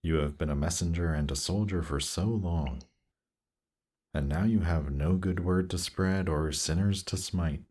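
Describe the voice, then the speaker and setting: A man playing a seductive demon prince in an intimate roleplay audio drama, teasing and coaxing in an unhurried way. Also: low-pitched, a little mellow, breathy edge. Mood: smooth, musing.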